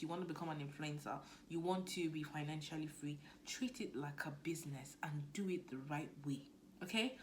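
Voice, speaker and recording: Nigerian woman, chatty low voice. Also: a little hiss or hum. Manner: chatty, animated, drops to near-whisper asides. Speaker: business coach vlogger. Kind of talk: casual talk to camera.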